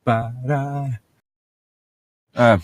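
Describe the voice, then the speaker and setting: A man's voice: medium pitch, flat delivery, extremely slow, no echo. A man co-hosting a comedic read-along, reading a book aloud with casual, irreverent, sweary banter.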